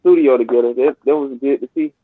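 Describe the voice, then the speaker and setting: A man's voice: medium pitch, steady tone, fast, dry recording. A man's voice comes through thin and phone-like, with no room sound around it at all. He is a co-host chatting casually, using informal, slangy speech. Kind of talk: casual chat. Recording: tinny narrow phone-like line, very dry.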